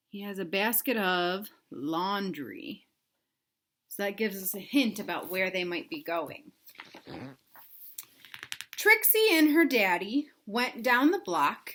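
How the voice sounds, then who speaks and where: A woman reading a picture book aloud to young children, pausing to explain things simply and ask them questions. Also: mid-range voice, a bit bright. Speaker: read-aloud storyteller for children.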